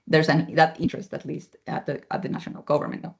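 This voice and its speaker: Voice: medium pitch, little pitch movement, fast talker, creaky. A moderator of an online panel asks long framing questions, speaking with many fillers and restarts. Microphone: video call. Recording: muffled lo-fi video call audio.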